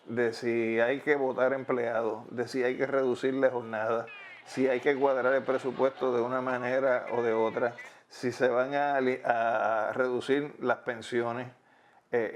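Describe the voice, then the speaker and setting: Guy talking it through slow and careful, mid voice, long sentences. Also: medium pitch. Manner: deliberate, builds long argued sentences with pauses. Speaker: interviewee on fiscal policy. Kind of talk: measured explanatory interview talk.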